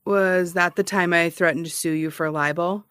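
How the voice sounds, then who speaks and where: A woman's voice: on the low side, fairly even intonation, normal speed, a bit bright, smooth, no echo, natural voice. Actress as a cocky, needling woman investigator, dry close sound. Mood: breezy, teasing. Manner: sarcastic, teasing, rambling, defensive. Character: cocky, needling amateur investigator and radio broadcaster, adult woman. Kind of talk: naturalistic audio fiction comedy-drama acting.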